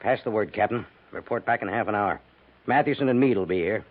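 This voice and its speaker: An actor playing an authoritative army major in a 1950s radio western, clipped and businesslike. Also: male voice, on the low side, quick pace, phone-quality sound, close to an everyday voice. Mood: brisk, decisive. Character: brisk, authoritative army major planning a raid. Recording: band-limited vintage radio with slight noise.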